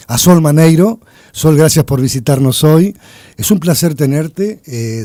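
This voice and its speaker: Uruguayan radio guy, easygoing chatty tone, dark mellow voice, dry studio sound. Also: mid-range voice, no echo. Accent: Uruguayan Spanish. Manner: chatty, playful, conversational. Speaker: radio journalist, weekly panelist. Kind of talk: radio interview.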